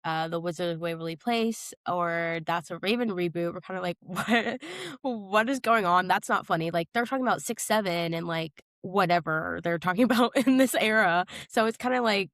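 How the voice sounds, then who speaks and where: A woman's voice: on the low side, normal speed, fairly bright tone, no echo, clean studio sound. A young adult woman co-hosting a podcast, chatting casually about pop culture in an informal, slangy and playful way. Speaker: podcast co-host.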